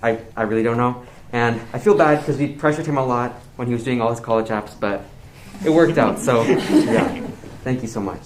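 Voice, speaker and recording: A man's voice: medium pitch, normal speed. A young man giving a casual thank-you speech in a noisy, echoey room.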